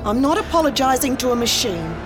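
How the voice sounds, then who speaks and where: A woman's voice: high voice, big pitch swings, fast, over music. An actress playing a feisty, suspicious, outspoken young female time traveller in a science fiction audio drama, blunt and sarcastic. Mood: indignant, sarcastic.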